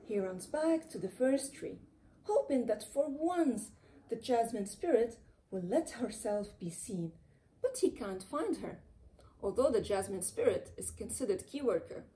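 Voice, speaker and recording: A woman reading a children's storybook aloud in an expressive, dramatic way with playful emphasis. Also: on the high side, poor audio. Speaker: children's author reading her own book aloud. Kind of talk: animated storybook read-aloud.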